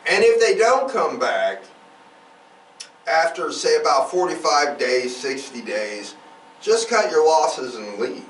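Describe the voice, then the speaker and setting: A man hosts a casual podcast in a rambling, explanatory style. His mid-pitched voice moves up and down a lot. It is picked up from a little distance in an echoey room. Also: big pitch swings, takes it slowly, fairly bright tone. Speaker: podcast host. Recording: roomy echo, distant mic, faint noise.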